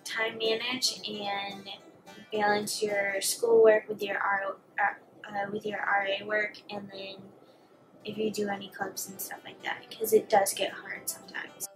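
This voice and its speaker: A woman's voice: medium pitch, level tone, measured pace, over music, low-quality recording. A young woman from Central New York, a resident assistant, speaking in a friendly, slightly hesitant way. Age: young adult. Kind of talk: casual interview answers. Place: indoors before a plain grey backdrop.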